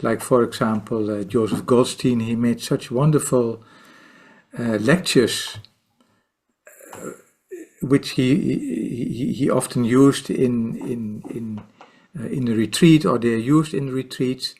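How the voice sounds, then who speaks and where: Guest, Dutch man, 60s, unhurried, hesitant, lots of fillers and restarts. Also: medium pitch, darkish tone. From Netherlands. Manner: slow, halting, with many repeated words and fillers. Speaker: guest, meditation teacher and author. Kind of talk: podcast interview.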